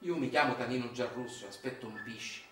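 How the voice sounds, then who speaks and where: A man's voice: medium pitch, fast talker, fairly bright tone, lightly acted. An actor playing an anguished, bitter Sicilian father from the countryside in an emphatic, incantatory theatrical monologue with a Sicilian accent. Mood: mournful. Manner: incantatory, emphatic, repetitive phrasing. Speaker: stage actor performing a monologue. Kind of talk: theatrical monologue, chanted and declaimed. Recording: roomy distant stage recording with music.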